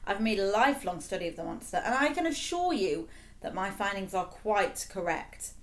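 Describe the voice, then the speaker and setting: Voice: mid-range voice, sing-song, lightly acted. Woman putting on a pompous fake professor. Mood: lecturing. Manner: measured, self-important. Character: pompous fake professor, a smooth obsequious impostor.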